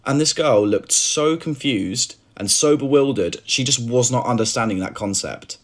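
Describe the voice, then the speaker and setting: British man, husky lively storyteller. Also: mid-range voice, moderate pace. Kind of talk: casual storytelling clip.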